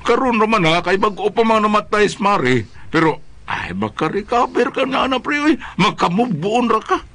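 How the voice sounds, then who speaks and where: Husky, very animated comic buddy. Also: male voice, sits high, normal speed, heavily acted. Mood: concerned, coaxing. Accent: Bisaya. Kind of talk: comic Bisaya radio drama acting.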